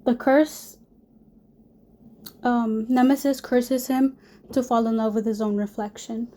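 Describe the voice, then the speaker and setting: A young woman, hoarse from a cold, gives a class presentation in a mellow, fairly high voice. She reads from prepared notes and restarts a word now and then. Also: fairly even intonation, slow. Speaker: student presenter.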